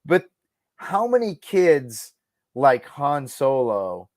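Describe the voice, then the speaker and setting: A man's voice: medium pitch, sing-song, drawn-out words, a little mellow, no room sound, clean studio sound. A man talking casually as a podcast guest, giving emphatic, musing opinions peppered with "like" and "you know".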